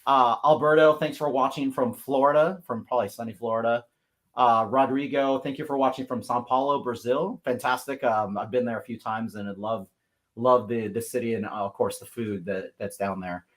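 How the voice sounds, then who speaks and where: A man from the Pacific Northwest hosts a livestream over a video call. His voice sits at an ordinary mid pitch. He is effusive and upbeat, with plenty of "uh"s and "gosh"es. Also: steady tone, normal speed. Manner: upbeat, effusive, many fillers. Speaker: host. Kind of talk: livestream talk show hosting.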